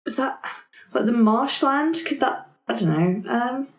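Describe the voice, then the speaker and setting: A woman's voice: on the high side, expressive, a little slow. A woman playing a tabletop roleplaying game, chatting casually and thinking aloud as she improvises. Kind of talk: casual improvised in-character chat. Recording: roomy, echoey tabletop recording.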